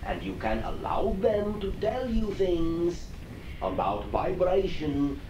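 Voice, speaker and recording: An assured alien teacher channeled by a man speaks slowly, in deliberate, halting phrases. The pitch swings widely and the wording is formal and stilted. It comes from far off on an echoey old cassette. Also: big pitch swings, dull audio, full character voice. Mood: instructive, encouraging. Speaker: channeler answering audience questions. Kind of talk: channeling session, question and answer. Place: echoey room, recorded from afar. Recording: echoey, noisy old cassette, distant mic.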